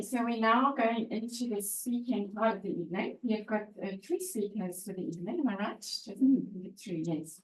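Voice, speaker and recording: A woman chairs a public-speaking club evening in a roomy, echoey meeting room. Her talk is chatty and loose, full of little fillers and laughs, at an ordinary middle pitch.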